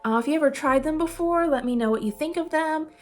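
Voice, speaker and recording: High-pitched giggly woman chatting casually. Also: fast talker. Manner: giggly, laughs often while talking; informal, rambling, self-deprecating. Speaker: video host giving game recommendations. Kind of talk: casual chatty recommendation vlog. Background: music playing under the voice.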